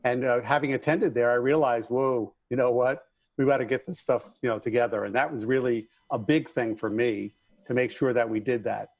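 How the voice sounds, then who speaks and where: A man's voice: mid-range voice, fairly even intonation, moderate pace, lo-fi sound. A middle-aged man from Long Island with a slight New York accent, chatting as a guest in an interview in an upbeat, folksy way. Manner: upbeat, folksy, addresses host by name. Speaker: guest, trades business owner. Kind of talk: casual interview chat.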